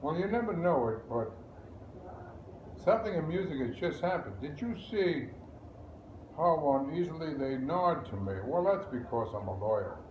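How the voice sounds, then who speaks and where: A man's voice: a little high, very animated, slight background noise. Guy performing a musing wry immigrant lawyer onstage, really swoopy delivery, echoey muffled room. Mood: wry, amused. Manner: theatrical, musing, deliberate pauses. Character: wry, reflective Italian-born immigrant lawyer narrating a tragedy. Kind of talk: stage monologue.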